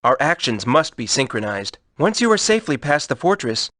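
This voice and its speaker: An actor playing a confident, authoritative military commander in a video game, speaking in a formal, measured, decisive way. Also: male voice, medium pitch, sing-song, pushed and bright, dry recording, studio quality.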